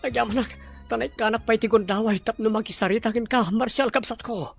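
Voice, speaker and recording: A man's voice: extremely high pitch, quick pace, vocal fry, husky, soft background music, full character voice. A man playing a repentant elder brother and former prisoner in a Filipino audio drama, speaking earnestly and contritely with a Filipino accent. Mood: remorseful.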